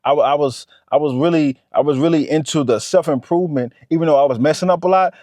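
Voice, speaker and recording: Guy in his 30s hyping up the viewer, kinda high voice, dead dry sound. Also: quick pace, no echo. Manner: animated, repeats himself, talks straight to the viewer. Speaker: vlogger. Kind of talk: motivational talk to camera.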